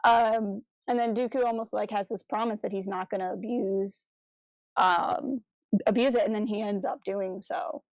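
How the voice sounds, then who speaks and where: A woman's voice: mid-range voice, fairly even intonation, normal speed, no echo. A woman co-hosting a podcast, talking through ideas in a casual, discursive way. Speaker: podcast co-host.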